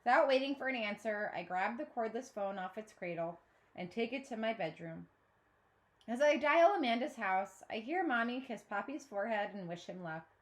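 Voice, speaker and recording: A woman's voice: a little high, normal speed. A woman reading a children's novel aloud as a teacher would, narrating in the first person and acting out the dialogue. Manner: expressive, acts out dialogue.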